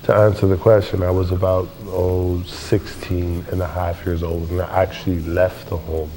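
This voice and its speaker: Deep, mellow young man. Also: little pitch movement, rough recording. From born in Jamaica. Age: 20s. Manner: deliberate, philosophical. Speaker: interview guest. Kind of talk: reflective interview answers. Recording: faint background noise.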